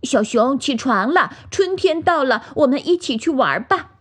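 A woman's voice: fairly high pitch, sing-song, moderate pace, breathy edge, voice pushed up. A woman voicing a friendly, eager puppy in a children's storybook, coaxing and calling out. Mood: cheerful coaxing.

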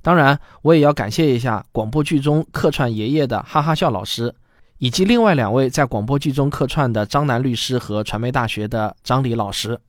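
Guy podcast host doing announcements, normal pitch, emphatic presenter talk, very dry recording. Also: fairly quick, dry recording. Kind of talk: scripted announcements to listeners.

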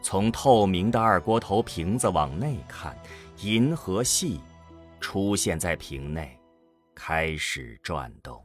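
A man's voice: sits low, expressive, slow pace, soft background music. A man narrating a scripted science fiction audio drama as a storyteller.

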